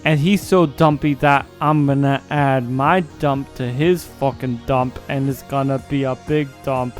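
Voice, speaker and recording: A man's voice: mid-range voice, steady tone, a little slow, soft background music, natural voice. A man voicing a brash rapper who boasts about running for president in a cocky, crude, swaggering manner. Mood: cocky boasting. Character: the Driz, brash trash-talking male rapper running for president. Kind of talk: crude comic boasting and battle banter.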